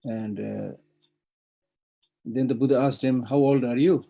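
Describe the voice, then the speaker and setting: A man's voice: mid-range voice, fairly even intonation, a little slow, vocal fry. A middle-aged man with a Tibetan accent giving a Buddhist dharma teaching, stressing points by repeating them and laughing between them. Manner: emphatic, repetitive, laughs warmly between points. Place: remote virtual teaching.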